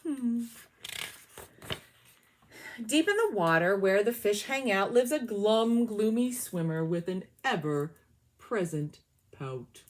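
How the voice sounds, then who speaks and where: A woman teacher reads a children's picture book aloud, her pitch rising and falling with animation. She drops in chatty little comments as she turns the pages. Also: lively intonation, takes it slowly, long pauses. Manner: chatty asides between pages.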